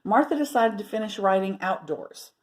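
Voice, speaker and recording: A woman storyteller reading aloud to children expressively, pausing to put questions and asides to her listeners. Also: mid-range voice, animated.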